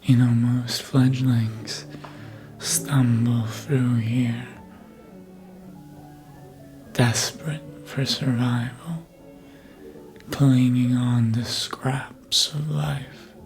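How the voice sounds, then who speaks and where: A performer voicing a suave, manipulative vampire in a whispered ASMR roleplay, breathy and deliberate, speaking close to the microphone. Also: medium pitch, level tone, a little mellow, faint music behind. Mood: soothing, seductive. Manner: slow, deliberate, hypnotic, insinuating.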